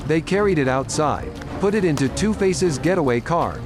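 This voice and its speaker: A man's voice: a little high, lively intonation, moderate pace. A man narrating a scripted audio drama story. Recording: loud music under the voice.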